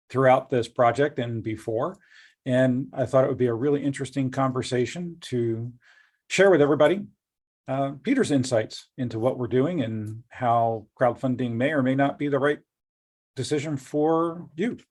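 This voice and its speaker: A man's voice: medium pitch, normal speed, darkish tone. Middle aged guy hosting a chat, joking around, rambles a bit. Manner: jokey, upbeat, rambling. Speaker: host, company executive. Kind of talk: casual interview talk.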